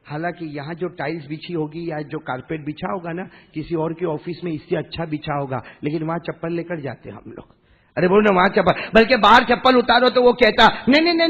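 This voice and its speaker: A man's voice: sits high, a bit bright, muffled recording. A man preaching an Islamic sermon in a mosque, impassioned and rhetorical, repeating phrases and prompting the congregation to answer. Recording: reverberant hall, long echo.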